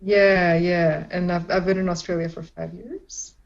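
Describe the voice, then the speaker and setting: Young woman comic from Pakistan; casual, giggly chat; lower pitch; muffled lo-fi recording. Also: level tone, a little slow, creaky. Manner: chatty, laughs often, many restarts. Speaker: stand-up comedian. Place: at home in isolation.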